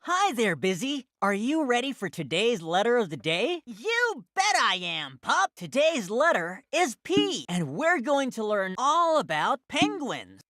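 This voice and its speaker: Woman voicing a bouncy, high-pitched cartoon pup. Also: big pitch swings, fairly bright tone, soft background music. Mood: cheerful, excited. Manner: enthusiastic, simple, deliberate phrasing for small children. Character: cheerful cartoon pup in a preschool learning show. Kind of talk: kids' educational cartoon dialogue.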